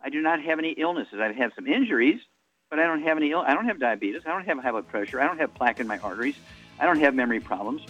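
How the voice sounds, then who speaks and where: Older male radio host in his eighties, rambling pitchman, fillers, lo-fi radio sound. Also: expressive, racing, fairly bright tone, soft background music. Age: 80s. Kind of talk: radio talk and product pitch.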